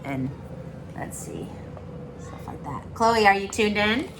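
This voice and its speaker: A woman teaching on a video call, reading aloud and chatting with children in an expressive, encouraging way. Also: medium pitch, slow pace, noisy background. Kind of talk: online storybook read-aloud with casual chat.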